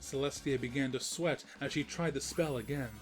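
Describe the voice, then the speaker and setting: A man reads a scripted comic tale as its narrator. His voice sits at a medium pitch, with a storyteller's delivery and a wry touch. Music plays faintly underneath. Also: normal speed.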